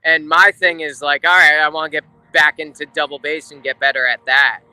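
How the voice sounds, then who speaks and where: A man's voice: a little high, fairly even intonation, fairly quick, sharp tone, clean tone, no echo, rough recording. A man talking casually as an interview guest, a drummer explaining his craft. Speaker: guest, rock drummer and drum teacher.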